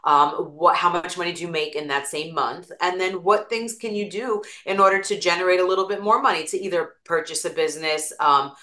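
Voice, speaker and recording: A woman's voice: lowish voice, steady tone, fairly quick, hardly any pauses, sharp tone, reverberant. A woman with an East Coast American accent, a guest on an interview show, talking casually and telling stories with lots of examples. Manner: chatty, storytelling with examples. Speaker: guest, attorney and real estate broker.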